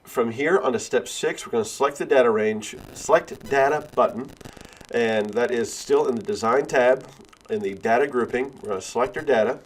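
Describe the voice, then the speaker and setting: A male instructor with a cold and sniffles, talking step by step through a software tutorial with frequent "uh" and "all right.". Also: medium pitch, moderate pace, low-quality recording. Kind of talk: screen-recorded software tutorial.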